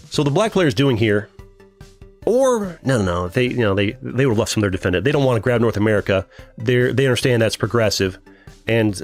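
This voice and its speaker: A young man with a deep voice casually commenting on his strategy game live, thinking aloud and answering chat. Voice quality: deeper voice than usual. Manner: chatty, thinks aloud, reads chat and laughs often. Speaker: streamer.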